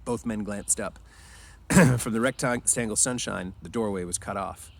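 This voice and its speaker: Low-voiced man reading a story aloud. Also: a little slow, creaky. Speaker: reader. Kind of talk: amateur storybook read-aloud narration.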